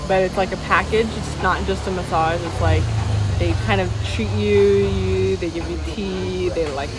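A woman's voice: lowish voice, fairly even intonation, moderate pace, background noise. A woman in her thirties with an American accent, chatting casually and in a rambling way for a vlog. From United States. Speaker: vlogger. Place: open-fronted street-side cafe. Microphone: handheld phone at arm's length. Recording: music behind, handheld outdoor vlog audio. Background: music.

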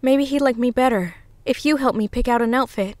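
A woman playing a soft-mannered young woman who is eerily probing, in a comic dub. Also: a little high, a little fast, no echo, natural voice. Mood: coy.

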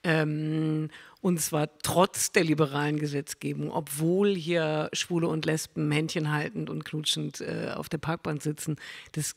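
Husky gravelly woman moderator. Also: deep voice, little pitch movement, measured pace. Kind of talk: panel discussion. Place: stage of a literary venue hall. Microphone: handheld microphone. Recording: reverberant hall.

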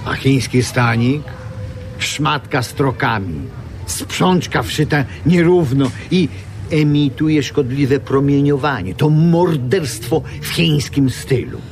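A man's voice: medium pitch, takes it slowly, slight background noise. An actor playing a pompous, melancholy old-fashioned craftsman in a radio drama, speaking in florid, theatrical phrases. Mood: grumbling, disdainful. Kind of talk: Polish radio drama acting.

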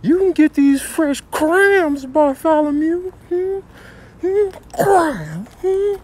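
A man's voice: very high voice, animated, slow pace, a little mellow, exaggerated voice. A man voicing a small, cocky animal, taunting and combative, in a comic animal voiceover. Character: small cocky animal challenging a bigger rival.

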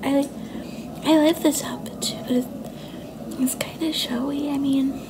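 A woman playing a sweet, insecure young girlfriend in a soft-spoken ASMR roleplay, hesitant and coy, often slipping into a breathy whisper. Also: sits high, fairly even intonation, a little slow. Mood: shy, self-doubting. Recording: noticeable background noise and ambience.

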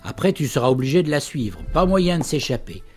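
A man's voice: fairly high pitch, very animated, fairly quick, darkish tone, soft background music. A man playing an old father telling ominous tales in a French audio drama, theatrical and teasing. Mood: ominous storytelling. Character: old Breton father and fireside storyteller, jovial yet ominous.

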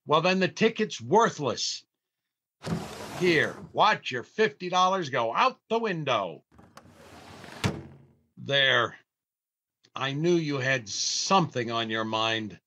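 A man's voice: mid-range voice, very animated, slow pace, long pauses, bright, forceful tone, slight background noise, heavily acted. An older man playing a long-suffering, scolding family man in an old-time radio comedy, theatrical and exasperated. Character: exasperated, long-suffering grumbling husband and father. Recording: slightly dull online recording.

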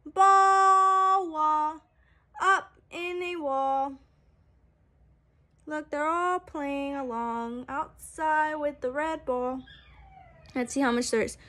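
A young woman, a preschool teacher, reading a picture book aloud to small children in an animated, sing-song, exaggerated way. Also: high-pitched, drawn-out words, pauses often. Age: young adult. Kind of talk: read-aloud story time for small children. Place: small room at home, indoors. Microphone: phone at arm's length.

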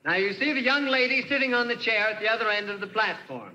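A man playing a high-strung inventor and showman in 1940s radio drama style. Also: animated, fast, bright, forceful tone, lo-fi sound, exaggerated voice. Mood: showy confidence. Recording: noisy vintage radio recording.

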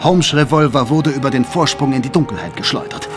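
A man's voice: medium pitch, lively intonation, a little fast. A man narrating an audio drama in a dramatic, scripted storytelling style. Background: dramatic music.

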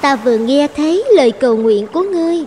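High-voiced cunning sea fairy. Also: female voice, expressive, quick pace, dark tone, soft background music. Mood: commanding. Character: cunning, lonely sea fairy granting bargains. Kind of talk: animated fairy-tale dialogue.

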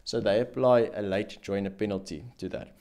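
A young South African man talks through household money matters in a steady, methodical way, his voice sitting a little low. He speaks close to a studio microphone on a boom arm in a small dim room. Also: normal speed. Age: young adult. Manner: methodical, explains with hand gestures. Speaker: financial planner host. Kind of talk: explanatory finance commentary. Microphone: boom-arm studio mic in frame.

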